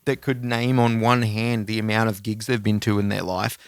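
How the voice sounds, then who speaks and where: A man's voice: fairly low pitch, level tone, normal speed, clear, resonant tone, dry recording, studio quality. An Australian man chatting casually as a podcast co-host, talkative and informal in his speech. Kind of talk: casual music podcast chat.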